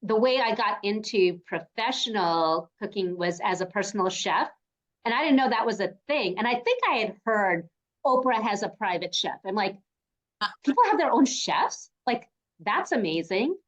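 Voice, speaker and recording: A woman's voice: medium pitch, lively intonation, normal speed, poor audio. A woman hosting a podcast interview, affirming and encouraging her guest. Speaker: podcast host. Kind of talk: interview conversation.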